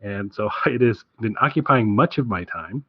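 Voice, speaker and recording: A man's voice: lowish voice, animated, normal speed, breathy edge, lo-fi sound. A man hosting a podcast, chatting casually about his recommendations in an enthusiastic, rambling way. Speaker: podcast host.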